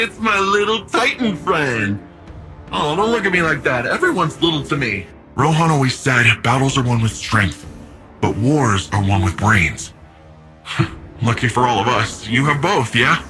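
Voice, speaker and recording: A man's voice: fairly high pitch, pushed and bright, exaggerated voice. An actor playing a big, cheerful, cocky young male city defender in a video game, with a breezy, slangy, eager and friendly manner. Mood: amused, excited, then playful, cocky.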